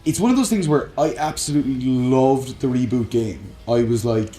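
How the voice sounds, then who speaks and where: Male podcast host, medium pitch, keen and opinionated, casual and sweary, roomy sound with faint music behind. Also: normal speed, dark tone. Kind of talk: casual chat about games and films.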